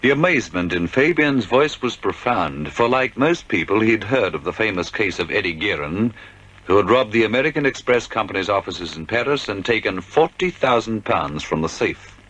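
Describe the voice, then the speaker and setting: A man's voice: fairly low pitch, animated, moderate pace, a bit bright, low-quality recording. A man giving scripted, dramatic narration of a crime story for a radio play.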